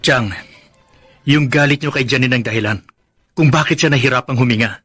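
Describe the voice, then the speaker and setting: A man's voice: medium pitch, a little fast, a bit bright, breathy edge, soft background music. A man playing an earnest, placating young married man in a Filipino radio drama, with a pleading, reasoning manner. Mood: pleading, exasperated.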